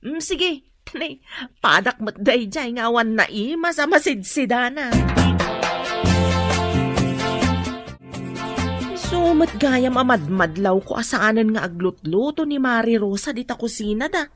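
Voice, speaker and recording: A woman's voice: fairly high pitch, animated, normal speed, music in the background, lightly acted. A woman with an Ilocano accent playing a chatty, caring village wife and mother in a radio drama. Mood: friendly.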